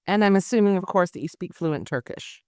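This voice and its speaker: A middle-aged woman hosting a podcast, speaking in measured, deliberate, emphatic phrases as she introduces and questions. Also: lowish voice, lively intonation, darkish tone, no room sound, studio quality. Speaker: podcast host and interviewer. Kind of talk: scripted introduction and formal interview questions.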